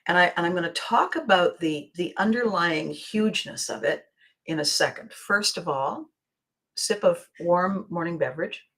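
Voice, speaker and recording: A woman hosts a casual motivational vlog in a fairly low voice with a slight hoarse scratch. She talks straight to her viewers in an expressive way, leaning on key words and pausing deliberately to make her points. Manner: expressive, acting out bits of dialogue. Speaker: vlog host.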